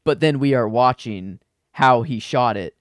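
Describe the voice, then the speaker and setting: A man's voice: medium pitch, a little slow, no echo, studio quality. A man co-hosting a podcast with a stuffed-up nose, rambling about a film with many restarts. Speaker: podcast co-host. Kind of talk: casual film discussion.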